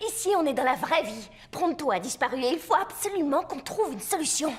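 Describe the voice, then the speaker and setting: High-voiced sardonic young heroine. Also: female voice, sing-song, brisk. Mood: sardonic, brisk. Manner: dry, no-nonsense. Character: level-headed, sardonic young heroine teammate. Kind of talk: cartoon character voice acting.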